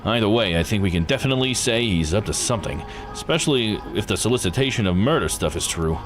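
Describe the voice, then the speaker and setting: Man doing a low, gruff, weary detective. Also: animated, quick pace, over music, natural voice. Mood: businesslike. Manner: casual, grumbling. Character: gruff, world-weary male police detective. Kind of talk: voicing visual novel dialogue.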